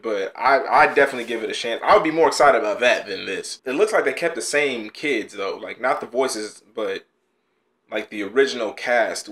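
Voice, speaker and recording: A man's voice: medium pitch, fairly bright tone. A young man hosting a casual reaction video, blunt and exasperated, with frequent sighs and groans, recorded in a small room at home. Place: small bedroom studio indoors.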